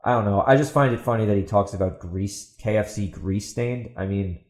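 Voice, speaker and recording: A man's voice: on the low side, a little fast, a little mellow, echoey room. A man hosting a podcast, giving opinionated commentary in a sarcastic, emphatic way and repeating himself for stress. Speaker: podcast host and author.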